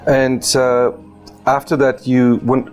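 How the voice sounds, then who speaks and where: A man, a halting interview host. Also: mid-range voice, little pitch movement, a little mellow, faint music behind. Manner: halting, with restarts and stumbles. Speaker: talk show host. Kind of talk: interview questions.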